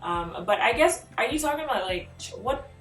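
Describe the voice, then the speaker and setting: A young woman vlogging, chatting through tag questions in an animated way, laughing often and filling her talk with "like.". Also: a little high, moderate pace. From Nigeria. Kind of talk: casual chat answering tag questions. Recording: roomy echo, mic a bit far off, faint music behind.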